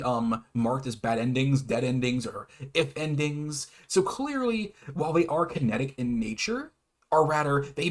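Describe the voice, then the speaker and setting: A man hosting an informal video explainer, half reading and half ad-libbing, often stumbling, correcting himself and chuckling between phrases. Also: mid-range voice. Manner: hesitant, stumbles over words and self-corrects. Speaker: YouTube host presenting his own definitions.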